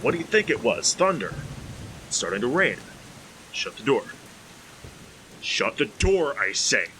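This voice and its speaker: A man's voice: mid-range voice, sing-song, unhurried, sharp tone, a little hiss or hum, rough recording. Man playing a blustering, irritable radio drama husband. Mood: stubborn, irritable. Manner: scolding, impatient, sputtering. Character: irritable, blustering middle-aged man, obsessed with his plants. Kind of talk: 1940s radio drama acting.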